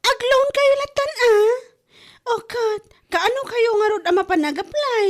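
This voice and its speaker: A high-voiced Ilocano village wife, acted by a woman in a comedy drama podcast. She nags and complains in exclamations, sounding fed up and worried about money. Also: expressive, a little slow. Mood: exasperated, pleading.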